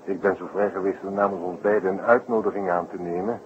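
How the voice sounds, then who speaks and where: A man's voice: sits low, fairly even intonation, quick pace, lightly acted. A man playing a detective's eager, breezy companion, chatting colloquially in a radio drama. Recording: dull old radio recording, clear noise.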